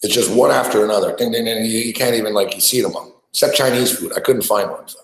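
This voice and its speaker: A man chats loosely on a live sports betting show, loud and full of swearing as he rambles between topics. His voice sits a little low. The room around him is echoey. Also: fast talker. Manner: loud, profane, rambling banter. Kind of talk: casual live-stream chat while eating. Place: small studio.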